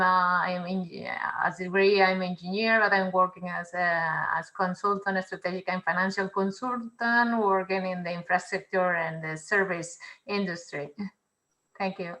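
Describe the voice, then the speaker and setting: A woman with a bright, sharp voice introduces herself. She speaks with a few flustered fillers over a video call. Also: on the low side, fairly even intonation, a little slow, rough recording. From Barcelona, Spain. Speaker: consultant.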